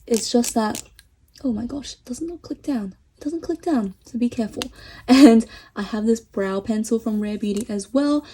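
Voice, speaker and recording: A chatty woman reviewing makeup at a desk, her slightly high voice mellow and growing hoarse. She talks casually and enthusiastically, with plenty of fillers, close to the mic. A faint hiss sits behind her. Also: moderate pace. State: voice getting hoarse. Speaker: beauty vlogger.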